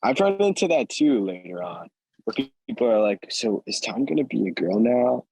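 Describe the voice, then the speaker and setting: A man hosting a casual interview podcast in a relaxed, laid-back way, laughing easily. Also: mid-range voice, normal speed, creaky, breathy edge, no echo, rough recording. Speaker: host.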